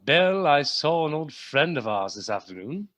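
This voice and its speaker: An actor playing a warm, teasing husband sharing news in a radio play. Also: male voice, a little high, big pitch swings, normal speed, dry recording.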